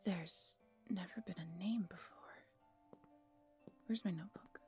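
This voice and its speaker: A woman voicing a weary, troubled young woman astronomer in an audio drama, in a soft, breathy voice. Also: fairly low pitch, measured pace, natural voice. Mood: weary, puzzled. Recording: music under the voice.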